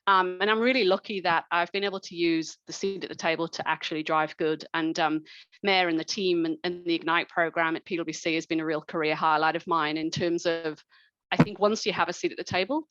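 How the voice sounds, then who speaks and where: Low-voiced woman telling her career story. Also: a bit bright, no room sound. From New Zealand. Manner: reflective, many ums and restarts. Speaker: speaker at a mentoring event. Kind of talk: personal career talk. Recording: dull lo-fi sound.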